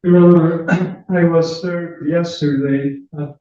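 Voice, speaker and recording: A landlord speaking to the judge in a courtroom hearing, halting and slow, restarting his phrases. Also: on the high side, steady tone, dark tone. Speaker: landlord, plaintiff. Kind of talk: courtroom testimony. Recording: very echoey room, distant, muffled, faint noise.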